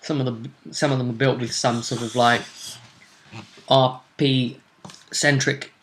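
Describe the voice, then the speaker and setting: A man's voice: mid-range voice, little pitch movement, measured pace, fairly bright tone, a little hiss or hum, rough recording. A man hosting a tabletop role-play series, chatting casually and wryly as he recaps a tournament.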